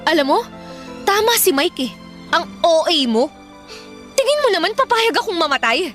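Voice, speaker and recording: A sharp, high-voiced defiant elder sister. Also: female voice, very animated, moderate pace, soft background music, full character voice. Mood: resentful, scolding. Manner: scolding, sarcastic, blunt. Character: defiant, irritable elder sister, hard-up single mother. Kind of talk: radio drama acting.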